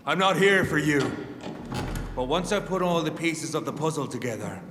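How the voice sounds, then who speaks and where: Menacing hunter leader for a game played by a man: sardonic, lighter-pitched, taunting, reverberant hall sound. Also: lively intonation, moderate pace, lightly acted. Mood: quietly threatening. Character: mocking, menacing male vampire hunter leader. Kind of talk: video game dramatic acting. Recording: echoey large hall, noisy background.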